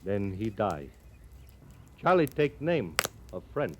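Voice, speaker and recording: An actor playing a cheerful, guileless Crow scout and horse thief in a 1950s radio western, speaking halting broken English and calling himself by name. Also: male voice, on the low side, sing-song. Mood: earnest, wistful. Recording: old radio recording with background noise.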